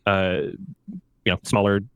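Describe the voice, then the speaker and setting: A man's voice: deep voice, little pitch movement, a little slow, clean tone, no room sound. A man giving conversational commentary, hedging as he argues his point.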